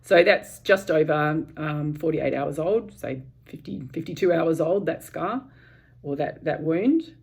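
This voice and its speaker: Low-voiced woman giving a calm vlog update. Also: a little slow, gravelly. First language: English. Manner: calm, candid, many ums and restarts. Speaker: vlogger. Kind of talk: casual personal vlog update. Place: home.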